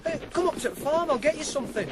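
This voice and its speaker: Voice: sits high, lively intonation, fast, background noise. An actor playing a friendly, cheeky young farm lad with a Northern English accent, blunt and easygoing in a radio drama. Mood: amiable. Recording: loud farmyard ambience behind.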